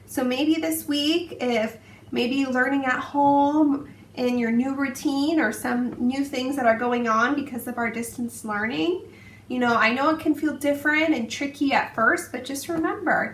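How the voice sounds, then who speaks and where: Female teacher, high-pitched, expressive storybook read-aloud for small children; roomy, distant recording with faint noise. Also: moderate pace. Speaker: teacher reading to her class.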